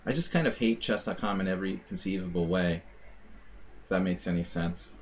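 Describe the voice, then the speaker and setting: A man's voice: low-pitched, fairly quick, slight background noise. An American man giving casual, rambling live commentary on his chess games, with wry asides and plenty of fillers. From United States. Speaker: chess streamer.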